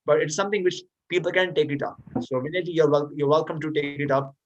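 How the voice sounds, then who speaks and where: A man with a slightly high voice speaks over a video call as a guest lecturer. He explains patiently to listeners, often restarting his sentences. He sounds like a young adult. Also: steady tone, fast talker, muffled recording. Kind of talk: lecture and answering audience questions.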